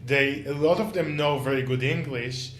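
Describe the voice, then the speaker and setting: Male podcast host, easygoing interviewer, mid pitch, roomy echo. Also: normal speed. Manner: relaxed, laughs along, asks follow-ups. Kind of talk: casual interview conversation. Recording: echoey room, faint background ambience.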